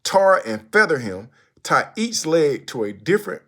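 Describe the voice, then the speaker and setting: A man reciting a written speech aloud in a deliberate, oratorical manner, with emphatic phrasing and pauses. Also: fairly high pitch, very animated, pushed and bright. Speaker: narrator reading a historical speech aloud.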